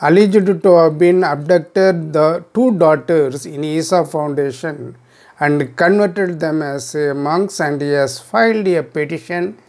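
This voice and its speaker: A man in his sixties with a South Indian accent giving unscripted commentary to camera in formal, deliberate, halting phrasing. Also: on the high side, darkish tone. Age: 60s. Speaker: video commentator. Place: small room indoors at home.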